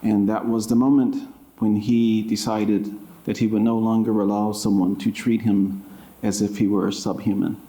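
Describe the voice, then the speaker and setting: A man giving deliberate, thoughtful interview answers as an author at an indoor public event. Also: fairly low pitch, level tone, dark tone. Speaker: author and creative writing professor being interviewed. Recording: roomy, echoing library event sound.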